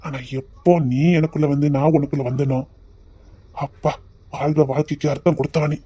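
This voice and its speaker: A woman's voice: on the low side, fast talker, dull audio, close to an everyday voice. A woman playing a teasing young lover with a lilt. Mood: tender. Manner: teasing, then softly tender. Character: young man courting his sweetheart, teasing. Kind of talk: amateur romantic drama.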